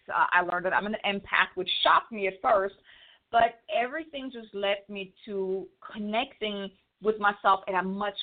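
A woman with a German accent, an author talking fast and stumbling over words as an interview guest. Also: mid-range voice. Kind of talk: casual interview chat. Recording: phone-line audio.

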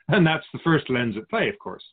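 Man playing calm otherworldly spirit guide, phone-like sound. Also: on the high side, slightly rough. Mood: amused, explaining. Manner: measured, philosophical, gently teasing. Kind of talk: radio drama dialogue. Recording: muffled phone-like narrow band.

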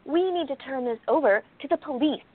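A woman acts a young lady sleuth in a radio drama, indignant and skeptical. Her voice is fairly high and exclamatory, heard through thin, phone-like audio. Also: brisk, close to an everyday voice. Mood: worried, questioning. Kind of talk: radio play acting.

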